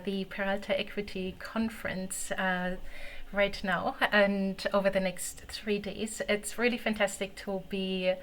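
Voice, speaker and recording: A woman's voice: medium pitch, a bit bright, low-quality recording. A woman from Germany with a German accent, giving an online conference presentation over a video call in a friendly, explanatory way with frequent fillers.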